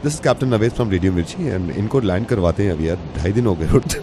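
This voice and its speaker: A man playing a calm, casual airline captain over a very noisy background drone. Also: on the low side, normal speed, voice pushed down, lightly acted. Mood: casual authority.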